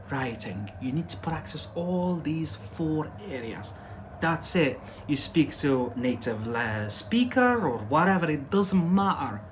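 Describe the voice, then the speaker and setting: A man's voice: fairly high pitch, a little hiss or hum. Emphatic man teaching English live. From Algeria. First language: Algerian Arabic. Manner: emphatic and repetitive, keeps checking in with "yeah?" and "that's it". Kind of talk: casual live-stream lesson.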